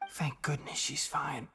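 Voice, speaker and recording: A man playing a wounded young healer in a video game, stubborn and dry, weary and breathy with pain. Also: on the high side, noisy background, close to an everyday voice. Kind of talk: video game character acting.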